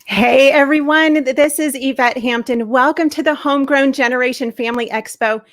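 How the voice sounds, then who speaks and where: A woman hosting a live online event, upbeat and effusive, her voice slightly hoarse. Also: sits high, fairly even intonation, normal speed, fairly bright tone. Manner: upbeat, effusive welcomes, laughs often. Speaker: host.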